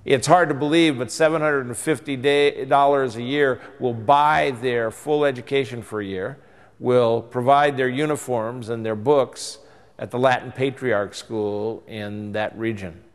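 A man's voice: medium pitch, steady tone, a little slow, in a large hall. A man in his sixties, a bishop, earnestly exhorting his diocese in a pastoral address to camera in a church. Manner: earnest, exhorting, rising and falling emphasis. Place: church interior by stained glass windows.

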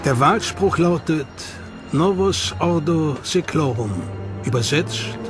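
A man's voice: on the high side, lively intonation, slow pace. A man narrating a documentary from a script with a dramatic, suspenseful delivery. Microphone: studio mic. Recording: studio voice-over over loud music.

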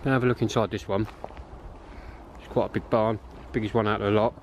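A man's voice: fairly low pitch, fairly even intonation, fast talker, slight background noise. A man casually narrating as he explores an abandoned farm, wondering aloud and talking to viewers. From England. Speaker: urban explorer vlogger. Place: outdoors and in derelict farm barns.

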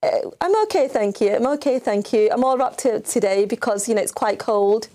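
A woman's voice: fairly high pitch, moderate pace, mellow. A middle-aged woman presenting a live TV interview show, chatty and full of fillers. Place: TV studio.

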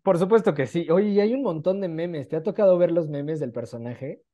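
Man, twenties, Mexican accent, soft mellow tone, chatty enthusiastic interviewer, video call. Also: fairly high pitch, fairly quick. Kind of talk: casual online interview. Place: small room at home, on a video call.

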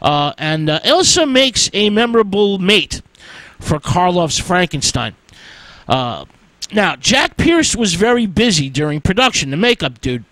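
A man hosting a radio show, giving an informal history lecture in a halting, drawn-out way with emphatic stresses. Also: high voice, sing-song, a bit bright, dry recording, low-quality recording. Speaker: radio host.

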